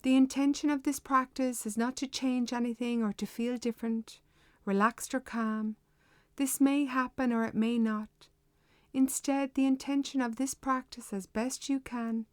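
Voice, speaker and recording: High-voiced woman guiding a meditation. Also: no echo. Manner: measured, unhurried, gently instructing. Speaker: meditation guide. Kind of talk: guided meditation, slow instructional narration.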